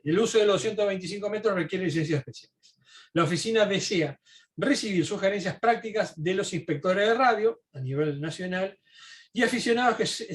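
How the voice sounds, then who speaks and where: A man with a high-pitched voice gives an informal history lecture. He reads from documents and stops to comment, explaining in a halting way with small asides and checking questions.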